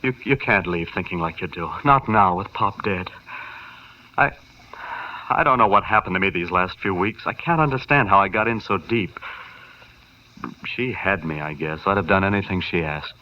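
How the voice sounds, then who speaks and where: A man's voice: sits low, normal speed, close to an everyday voice. An actor playing a hot-headed, gullible young man in trouble, earnest and impulsive, in 1950s radio drama style. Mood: grief-stricken. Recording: dull vintage 1950s radio recording.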